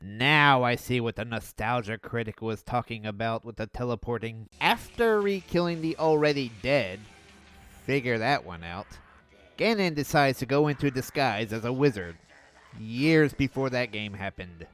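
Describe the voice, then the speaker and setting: A young man reviewing an old cartoon in a sarcastic, mocking voice-over with deadpan jabs. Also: mid-range voice, moderate pace, smooth, soft background music.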